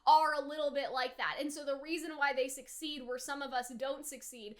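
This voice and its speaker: A woman giving a casual, rambling anime reaction in a chatty, animated, slangy way, often wandering off on tangents. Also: high-pitched, brisk.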